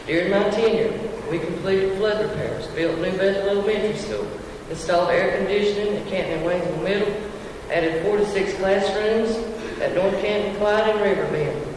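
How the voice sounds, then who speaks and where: A man giving a prepared candidate statement at a public forum, in a brisk, list-like delivery. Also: sits high, background noise. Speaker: county commissioner candidate. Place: large public meeting hall. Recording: echoey hall from far off.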